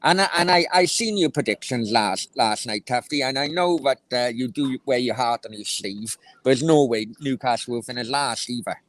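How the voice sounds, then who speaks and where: A man's voice: medium pitch, moderate pace, hardly any pauses, fairly bright tone, no room sound. Welsh guy from south wales chatting footie, keeps restarting, dead dry sound. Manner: rambling, stumbling restarts, laughs easily. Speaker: host. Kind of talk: casual football chat.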